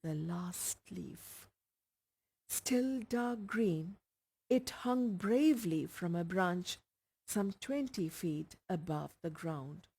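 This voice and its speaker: A woman's voice: lowish voice, animated, drawn-out words, gravelly, mellow, breathy edge. A woman with an Indian accent reading a short story aloud as scripted narration. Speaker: audiobook reader.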